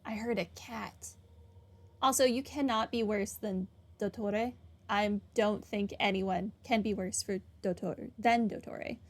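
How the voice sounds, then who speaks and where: A woman's voice: medium pitch, fairly even intonation, unhurried. A woman giving casual commentary on a game playthrough, with wry, flippant asides. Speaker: gaming streamer.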